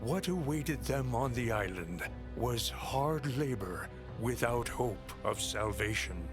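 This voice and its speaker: An actor playing a solemn storyteller of a dark past, giving scripted video game narration in a measured, portentous manner. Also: male voice, mid-range voice, expressive, music in the background.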